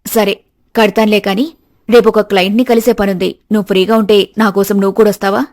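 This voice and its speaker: A woman voicing a confident, motherly and slightly crafty middle-aged aunt in an animated story. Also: mid-range voice, fast, no echo, studio quality, close to an everyday voice. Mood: motherly, friendly. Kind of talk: animated moral story dialogue.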